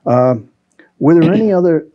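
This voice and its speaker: A man's voice: on the high side, animated, normal speed. A man asking questions conversationally as the interviewer in an oral history interview. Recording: dull, muffled recording.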